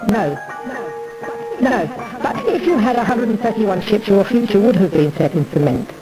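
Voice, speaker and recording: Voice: mid-range voice, sing-song, moderate pace, over loud music, lightly acted, poor audio. A performer playing an imperious, aggrieved Tudor queen in a theatrical parody monologue, declaiming in a pompous, rambling way.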